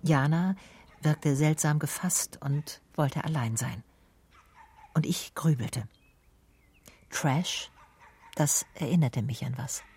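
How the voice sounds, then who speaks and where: Low-voiced female private detective. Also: slow, pauses often, a little mellow, slight background noise. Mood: matter-of-fact, brooding. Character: female private detective narrating her own case, dry, brisk. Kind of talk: radio drama first-person narration and dialogue.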